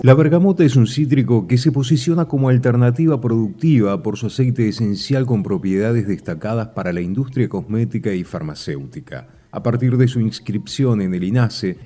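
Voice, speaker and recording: A man's voice: mid-range voice, dark tone. A man reading scripted informational narration for a podcast.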